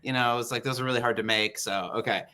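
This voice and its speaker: Bright-voiced host. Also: male voice, medium pitch, fairly even intonation, fast talker, smooth. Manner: friendly, encouraging, many fillers and restarts. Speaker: interview host. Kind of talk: casual interview chat.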